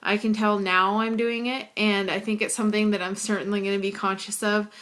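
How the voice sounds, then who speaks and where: A woman student with a deep, hoarse voice and vocal fry, talking casually and hesitantly to camera. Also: flat delivery, brisk, fairly bright tone. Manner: hesitant, frequent fillers. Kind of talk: casual video assignment to camera.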